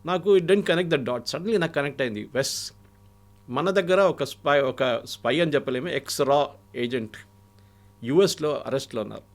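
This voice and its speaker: An Indian man speaks as a guest analyst, with a noticeably creaky voice at a middling pitch. He lays out political stories and anecdotes, moving back and forth between Telugu and English. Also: moderate pace. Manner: mixes English phrases into Telugu.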